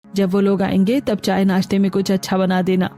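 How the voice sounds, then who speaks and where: A woman's voice: mid-range voice, completely flat delivery, brisk, mellow, lightly acted. A woman voicing a homely, mildly bossy middle-aged mother-in-law in a cartoon family story, matter-of-fact in manner. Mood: grumbling.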